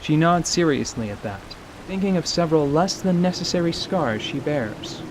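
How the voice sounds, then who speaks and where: A man's voice: on the high side, moderate pace, a little hiss or hum. A man reading scripted narration for a fantasy audio drama as its narrator. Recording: steady rain and stream ambience behind.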